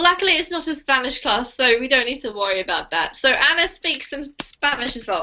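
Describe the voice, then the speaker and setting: A woman's voice: sits high, bright, forceful tone, muffled recording. A woman teaching an online English class, chatty and encouraging with her students over a video call. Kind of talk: live online group class. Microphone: computer mic on a video call.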